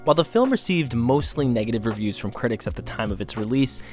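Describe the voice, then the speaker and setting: Man, mid-pitched, scripted documentary narration, measured, formal, faint music bed. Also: lively intonation, darkish tone.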